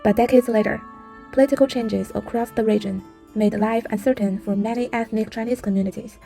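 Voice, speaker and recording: A woman narrates a travel vlog in calm, scripted voice-over. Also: on the high side, a little fast, creaky, faint music behind. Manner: calm storytelling.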